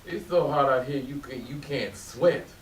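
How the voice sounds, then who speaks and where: A man's voice: mid-range voice, a little slow, close to an everyday voice. An actor playing a weary, grumbling, hard-drinking older working man in a staged play. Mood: weary, chatty. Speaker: actor in a readers theatre play. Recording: hissy, echoey stage pickup from far off.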